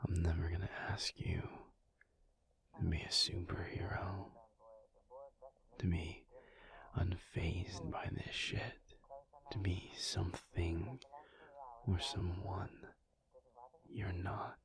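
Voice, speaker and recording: A man playing a tender, weary, protective alpha werewolf in an intimate audio roleplay, speaking gently and haltingly in a breathy, low, often whispered voice. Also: steady tone, crowd noise. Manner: slow, gentle, halting, reassuring.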